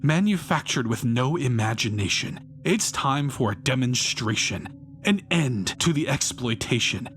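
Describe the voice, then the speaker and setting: A man's voice: medium pitch, lively intonation, unhurried, a bit bright. A man performing a bitter, self-righteous failed painter turned fanatical vandal in an intense, rhyming dramatic monologue. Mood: grandiose, bitter. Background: music behind the voice.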